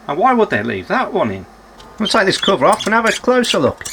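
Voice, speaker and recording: A man's voice: high voice, wildly swooping pitch, brisk, a bit bright, a little hiss or hum. A man giving unscripted running commentary as he works on a repair, musing aloud and putting questions to himself with dry, understated humour. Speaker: electronics repairer presenting a repair video.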